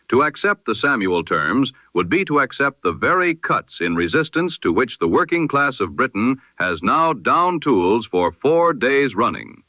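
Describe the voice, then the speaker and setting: A man reading the news in the formal, measured style of an old-time radio broadcast. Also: mid-range voice, no room sound. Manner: measured, formal newsreader delivery. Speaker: news announcer. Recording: dull lo-fi radio-style sound.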